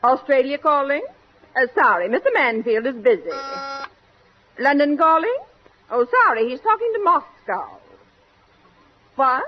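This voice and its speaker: An actress playing a busy, polite but dismissive secretary in a 1940s radio comedy, with clipped formal phrasing. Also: female voice, high-pitched, sing-song, measured pace, long pauses. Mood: brisk, polite. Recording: dull, slightly noisy old radio recording.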